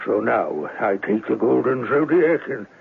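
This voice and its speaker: An actor playing a huge, menacing crime boss in a radio drama, speaking formally and pompously in a gravelly, husky voice. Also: male voice, mid-range voice, very animated, unhurried, slight background noise, full character voice. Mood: smug menace. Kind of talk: radio drama acting, gangster parody. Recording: lo-fi old radio broadcast.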